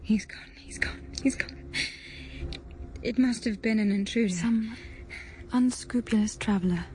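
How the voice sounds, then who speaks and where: A woman playing a frightened, fixated young governess, speaking haltingly and intensely in a gothic audio drama. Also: fairly high pitch, warm tone, a little hiss or hum, lightly acted. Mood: whispered dread. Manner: halting, intense, repeating short phrases. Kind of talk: dramatic audio drama acting with inner monologue.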